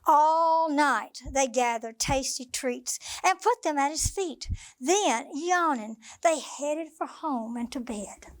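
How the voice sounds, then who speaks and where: A woman reads a bedtime picture book to young children in a high voice. She goes very slowly, with an expressive, sing-song lilt. The sound is dry and close, with very little room. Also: dry recording. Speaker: children's librarian storyteller.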